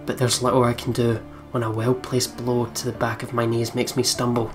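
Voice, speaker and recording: A let's play host narrates a visual novel aloud, deliberate and dramatic. The pitch is mid-range and stays fairly even, with music playing clearly behind the words. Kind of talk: reading visual novel text aloud with spoken reactions. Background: game music.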